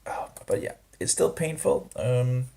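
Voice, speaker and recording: A man talking through a rambling casual vlog, halting and full of restarts, with grumbling disapproving asides. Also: medium pitch, lively intonation, vocal fry, mellow. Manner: hesitant, halting, many restarts and fillers. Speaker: vlogger.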